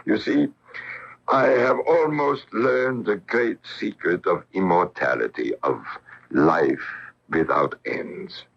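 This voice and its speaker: An actor playing a weary, gentle old genius in a radio drama, speaking in a courtly, deliberate way with a gravelly, husky voice. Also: male voice, low-pitched, exaggerated voice. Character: weary, gentle old genius, male, godlike welcoming host. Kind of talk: 1970s-80s radio drama acting. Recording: dull lo-fi AM radio recording.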